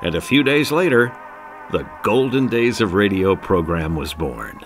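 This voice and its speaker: A man's voice: lowish voice, animated, measured pace, over loud music. A man reading scripted narration for a documentary.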